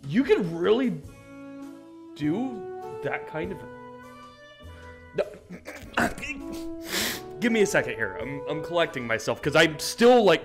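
A young man talks on a live stream from a small room into a desk mic, his voice swinging widely in pitch. He swears freely and flips from mutters to sudden loud outbursts. Faint music sits behind him. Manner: profane, excitable, jumps to loud outbursts. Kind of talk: casual live-stream chat.

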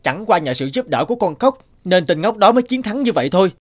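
Scornful, high-pitched jealous prince. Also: male voice, big pitch swings, fast. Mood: indignant. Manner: indignant, scornful. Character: arrogant, jealous elder prince. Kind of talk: cartoon fairy-tale dubbing.

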